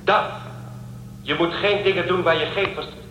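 A man playing a cold, authoritarian ship intelligence in a radio drama, measured and detached in delivery. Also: high-pitched, fairly even intonation, muffled recording, background noise, close to an everyday voice. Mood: stern, official. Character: controlling male ship intelligence called an angel, cold and authoritarian. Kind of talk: Dutch radio drama acting. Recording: echoey, distant, music underneath.

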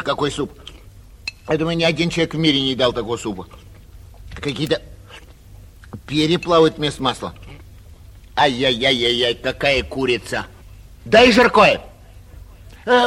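A man playing a vain, petulant, cowardly young gentleman in a 1940s theatrical radio play, whining and indignant in a husky voice, with long reverb on the old recording. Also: mid-range voice, animated, normal speed, pauses often. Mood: disgusted, grumbling. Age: young adult.

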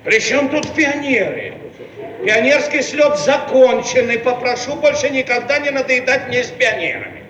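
An actor playing a pompous, demanding Soviet bureaucrat in a theatrical radio play, declaiming with indignant self-importance. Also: male voice, very high-pitched, animated, normal speed, fairly bright tone. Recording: echoey noisy archival radio-play recording, distant.

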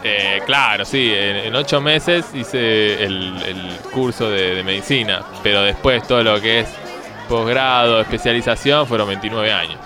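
Un hombre haciendo de médico argentino chanta y titubeante. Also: male voice, fairly low pitch, music in the background, a crowd in the background, close to an everyday voice. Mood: bumbling. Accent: Rioplatense Argentine. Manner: halting, deadpan, hesitant. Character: shady, bumbling thirty-something doctor, casually callous. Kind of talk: improvised radio comedy sketch.

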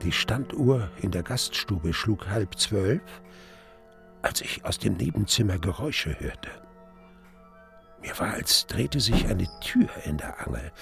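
A brooding male narrator in a radio play, recounting his past in a rather low, creaky voice. Soft music runs behind the storytelling. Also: expressive, slow, exaggerated voice. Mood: tense. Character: brooding male narrator recalling his lost youth and love. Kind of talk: scripted first-person radio drama narration.

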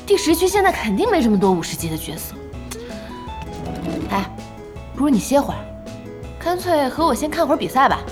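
A woman playing an excitable, bossy young boss and fanatical esports fan in an audio drama, impatient and exclamatory. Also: high voice, a little fast, pauses often. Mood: grumbling. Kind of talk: audio drama acting, conversational. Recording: music behind the voice.

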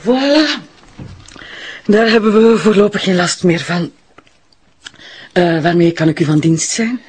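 An actress with a Flemish accent playing a middle-aged woman doctor in a radio drama, polite and guarded, with halting phrasing. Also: mid-range voice, a little mellow, breathy edge. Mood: polite, chatty.

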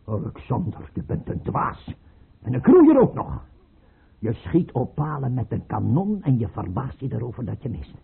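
An actor playing a paranoid, scornful tsar in a radio play, imperious and abrupt, with a husky, rough voice. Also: male voice, medium pitch, wildly swooping pitch, moderate pace, full character voice. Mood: mocking, suspicious. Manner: imperious, abrupt, mocking, swinging from mutter to outburst. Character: paranoid tyrant tsar, erratic, scornful, suspicious father. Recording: muffled, tinny old radio play recording.

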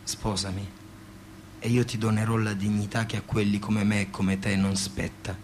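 A man's voice: on the low side, level tone, moderate pace, background noise, natural voice. An actor playing a weary, devoted young working man in a poetic stage drama. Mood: solemn, tender. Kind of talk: poetic stage-theatre monologue.

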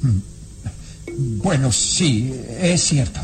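An actor in a radio drama playing a nervous, evasive gentleman suspect, stammering theatrically and defending himself. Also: mid-range voice, expressive, drawn-out words, music in the background. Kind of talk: classic Latin American radio drama acting.

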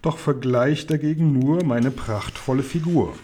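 Casting: vain, nasty, self-adoring fat aunt played by a male narrator, mellow, pompous delivery for a children's audiobook. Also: medium pitch, measured pace, slight background noise, lightly acted. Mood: smug, self-admiring. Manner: pompous, declaiming in rhyme.

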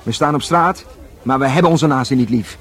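An actor playing a young, hot-headed, cynical police detective in a radio play, argumentative and sarcastic. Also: male voice, mid-range voice, brisk, natural voice. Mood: bitter, sarcastic.

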